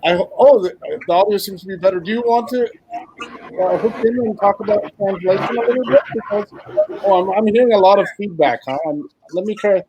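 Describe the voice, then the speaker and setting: A man's voice: high-pitched, brisk, husky, no room sound, dull audio. A man hosting an online writers' discussion over a video call, talking hesitantly with many fillers. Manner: hesitant, warm-toned with many fillers and restarts. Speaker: host. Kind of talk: casual moderated video call.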